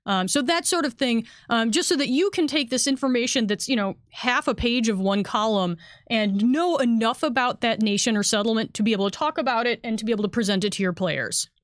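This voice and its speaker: Female game designer, higher voice, dry close sound, casual explanatory panel chat, thinks aloud as she goes. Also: brisk, no room sound. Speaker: game designer on a company livestream.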